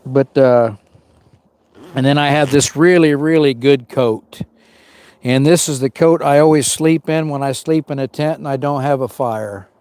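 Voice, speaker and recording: A man's voice: mid-range voice, a little slow, a little mellow, smooth, no echo. A man hosting a camping livestream outdoors in the cold woods, sniffling as he chats with viewers and greets them by name. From Arizona. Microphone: small mic worn on him.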